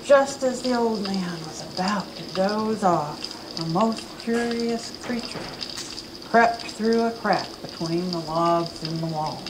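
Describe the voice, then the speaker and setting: Expressive woman reading a story aloud. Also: mid-range voice, takes it slowly, noisy background. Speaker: reader at a library event. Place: campus event room.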